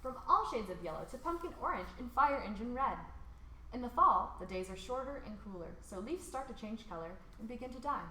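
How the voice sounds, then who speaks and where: An American woman hosting a read-along, reading a picture book aloud for children in an expressive, informal way. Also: on the high side, brisk. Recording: roomy echo, faint background noise.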